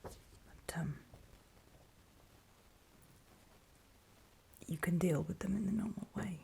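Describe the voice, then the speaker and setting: A woman playing a weary, wary zombie apocalypse survivor in a halting, breathy whispered ASMR horror roleplay. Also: on the low side, dark tone, full character voice. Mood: wary, resigned. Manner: halting, hesitant, slow and trailing off.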